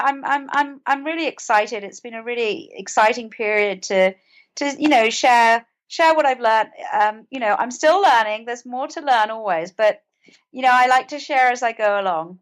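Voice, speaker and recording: A woman with a British accent speaking as an interview guest, earnest and conversational, often repeating words as she goes. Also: on the high side. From United Kingdom. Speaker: podcast guest, author and mental health advocate.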